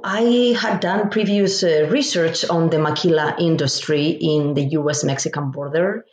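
Brief: woman from Spain, academic guest, measured and reflective, roomy recording. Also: lowish voice, a little mellow. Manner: measured, thoughtful, pauses to find words. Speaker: guest author, professor. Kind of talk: academic interview answers. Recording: roomy echo.

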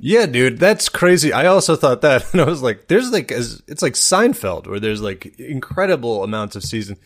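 A man's voice: mid-range voice, very animated, normal speed. A man hosting a podcast in casual comedic chat, with a rambling, joking way of talking. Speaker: podcast host.

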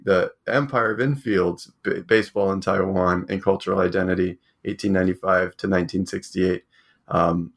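A man hosting an academic book podcast from home, talking hesitantly with many fillers. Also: sits low. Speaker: podcast host.

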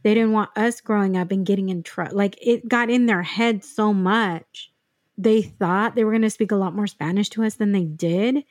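A woman's voice: medium pitch, normal speed, darkish tone, dry recording. A woman hosting a podcast talks in an easy, chatty way, affirming her guest and sprinkling in fillers. Her voice sits in a middle range, and the recording is dry and close. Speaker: podcast host. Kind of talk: casual podcast conversation.

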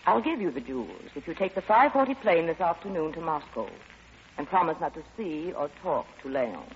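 A woman's voice: sits low, very animated, fairly quick, slight background noise. A woman playing a haughty, scheming duchess in an old-time radio drama, on a muffled recording with long reverb.

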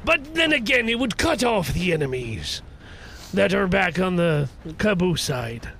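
A man's voice: sits high, very animated, a little slow, creaky, a bit bright, slight background noise. A man playing a tabletop game, bantering casually in a playful, theatrical manner.

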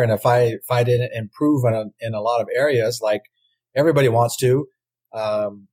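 A man in his forties from Southern California, answering questions as a guest in a casual podcast interview, rambling and halting with frequent restarts. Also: mid-range voice, a little mellow. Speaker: guest, former baseball pitcher.

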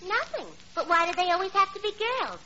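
High, bright, dim man-hungry gal. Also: big pitch swings, brisk, heavily acted. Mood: breezy, naive. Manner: naive, matter-of-fact illogic. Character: dim, man-hungry, chatty young single woman. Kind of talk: 1940s radio comedy acting. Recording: dull, hissy 1940s radio recording.

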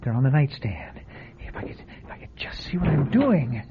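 A man's voice: on the high side, sing-song, moderate pace, in a whisper, loud music behind the voice. An actor playing a frightened male motel guest in an old-time radio drama, stammering and panicky. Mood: scared. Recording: tinny lo-fi radio broadcast.

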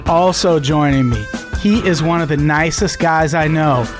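A man's voice: fairly high pitch, normal speed, music in the background. A man hosting a podcast, joking and teasing his guests in casual banter, swearing often. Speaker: podcast host.

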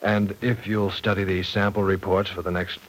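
An actor playing a courteous, modest university professor in a 1940s radio soap opera, speaking with gentle, hesitant formality. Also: male voice, low voice, level tone, normal speed, poor audio. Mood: reassuring. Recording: old radio drama recording.

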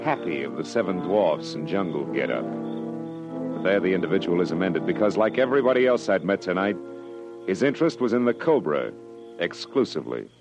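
An actor playing a tough, wry private detective, sardonic and clipped, in 1940s hardboiled radio drama style. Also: male voice, low voice, very animated, moderate pace, music in the background. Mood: wry, grim. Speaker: lead actor and narrator.

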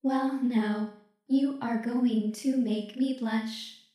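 A man's voice: very high-pitched, steady tone, extremely slow, mellow, roomy sound, pitched above the performer's own voice, heavily acted. A man voicing a curious, polite young female automaton who speaks earnestly and formally.

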